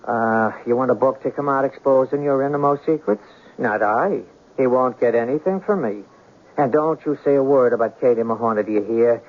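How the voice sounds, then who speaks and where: An actor playing a stubborn, gloomy, lovesick young Irish immigrant in a 1970s radio drama, indignant and stammering when flustered. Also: male voice, mid-range voice, moderate pace, close to an everyday voice. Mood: angry, suspicious. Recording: dull, noisy old radio broadcast.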